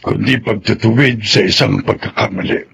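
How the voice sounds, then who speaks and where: An actor with a Filipino accent playing a gentle, remorseful old grandfather in a radio drama, speaking earnestly and haltingly with a gravelly voice. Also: on the low side, steady tone, background noise.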